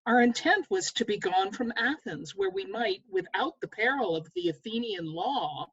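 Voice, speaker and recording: A woman's voice: on the high side, sing-song, normal speed, a bit bright, breathy edge, heavily acted. A woman playing a dazed, apologetic young man in love, reading Shakespeare verse hesitantly over a slightly dull video call. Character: dazed, apologetic young male lover, half awake. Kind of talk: Shakespeare table read.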